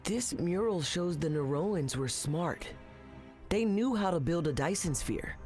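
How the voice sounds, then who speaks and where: A man playing an eager, plucky teenage boy who leads a crew of cadets in an animated game. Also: high-pitched, a little slow, soft background music. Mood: earnest.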